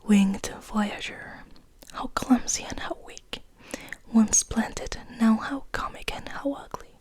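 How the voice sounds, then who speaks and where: A woman whispering softly in an ASMR style, reading and rambling in a hushed, unhurried, gentle way. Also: a little high. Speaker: ASMR host.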